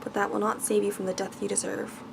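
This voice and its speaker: A woman voicing an angry, commanding male beast in a bedtime storybook, with stern, formal speech, reading softly for ASMR. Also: medium pitch, flat delivery, fast talker, vocal fry, background noise.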